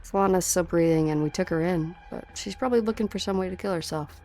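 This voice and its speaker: A woman's voice: fairly low pitch, fast talker, a little mellow, soft background music, lightly acted. A woman voicing a cold, taunting assassin in a dramatic game dub, with a cool, menacing delivery. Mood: cold, matter-of-fact. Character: cold, taunting female enemy assassin hunting her prey.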